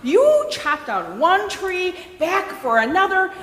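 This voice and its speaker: A woman's voice: very high voice, wildly swooping pitch, slow, fairly bright tone, voice pushed up, full character voice. A woman voicing an earnest monkey giving an emphatic warning, in live storytelling. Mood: urgent warning. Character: earnest monkey warning a man about trees. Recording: echoey hall, faint background noise.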